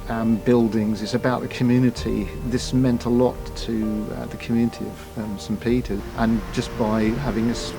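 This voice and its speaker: Older guernsey bloke telling school stories, lots of ums, music in the back. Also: male voice, medium pitch, rough recording. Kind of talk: casual reminiscing.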